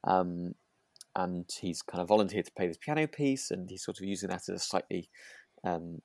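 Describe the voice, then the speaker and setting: A man speaks in a fairly low voice with no room sound around it. He chats casually as a podcast co-host, agreeable and a little hesitant. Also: expressive, moderate pace, a little mellow, no room sound, studio quality. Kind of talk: relaxed fan chat about a radio drama.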